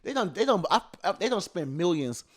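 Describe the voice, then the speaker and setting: Animated joking man in his thirties. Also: a little fast. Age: 30s. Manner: loud, joking, swearing banter with sudden jumps in pitch. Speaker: podcast host. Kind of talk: casual chat.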